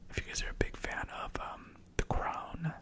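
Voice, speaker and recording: A man whose first language is Spanish, whispering numbered facts ASMR-style with casual chatty asides. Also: moderate pace. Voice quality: soft unvoiced whisper. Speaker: ASMR host. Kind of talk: ASMR whispered fact reading.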